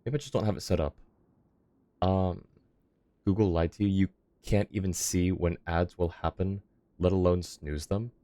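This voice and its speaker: A man's voice: low voice, steady tone, moderate pace, pauses often, mellow, no echo. A young man livestreaming a game and chatting casually with viewers on a close microphone. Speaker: live game streamer. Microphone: close streaming microphone.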